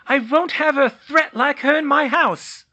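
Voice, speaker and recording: Man doing a scornful old auntie, shrieking, high sharp voice, wild swoops, storybook reading. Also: very animated, moderate pace, lo-fi sound, heavily acted. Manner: sneering, shrieking insults. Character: shrill, scornful old great-aunt.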